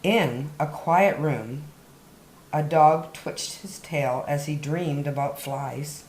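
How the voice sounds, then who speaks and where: A woman hosting story time, reading a picture book aloud to young children with deliberate, dramatic emphasis on key words. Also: sits low, darkish tone, slight background noise. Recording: roomy, echoey indoor sound.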